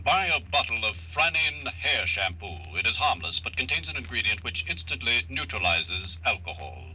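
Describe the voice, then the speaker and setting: Piercingly bright-voiced, impassive computer. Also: male voice, medium pitch, moderate pace. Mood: impassive, matter-of-fact. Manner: flat, formal announcer-like delivery. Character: emotionless computer logic answering service questions. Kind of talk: 1950s radio drama acting. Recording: noisy old radio broadcast recording.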